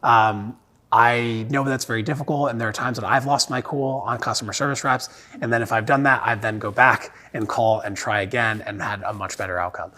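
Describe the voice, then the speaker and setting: A man's voice: medium pitch, fairly even intonation, a little fast, sharp tone. A man hosting an explainer monologue, talking in a conversational, instructive way. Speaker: host. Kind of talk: explainer monologue giving money tips.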